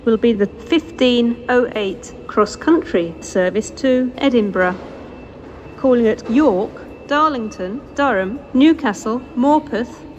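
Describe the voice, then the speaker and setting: A British woman reading scripted railway announcements over a station loudspeaker, formal and measured, in segmented phrases. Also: fairly high pitch, lo-fi sound. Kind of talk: scripted public-address train announcements. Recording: noisy station PA recorded on location.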